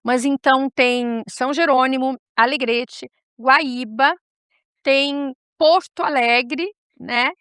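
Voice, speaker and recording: A woman's voice: high voice, steady tone, takes it slowly, fairly bright tone, smooth, dry recording, studio quality. A woman with a gaúcho accent from southern Brazil hosting a talk show, chatting casually, digressing and speaking straight to her viewers. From Rio Grande do Sul, Brazil.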